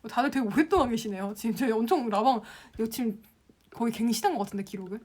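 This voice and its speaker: A woman's voice: a little high, fast talker, darkish tone. A young woman from the Gyeongsang region teaching Instagram, answering viewer comments on a casual live stream and laughing often. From Gyeongsang province, Korea.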